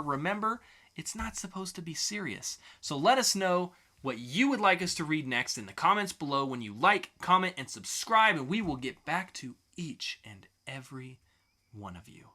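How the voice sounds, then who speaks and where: A man presents a web show segment. His delivery is casual and jokey, with very lively intonation and a bright, forward tone. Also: sits high. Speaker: segment host.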